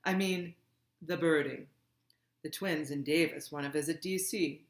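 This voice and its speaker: A woman voicing a composed woman carer in a storybook, speaking in an even, measured, diplomatic way. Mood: calm, diplomatic. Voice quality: deep, even. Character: calm, composed woman looking after children. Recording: echoey room.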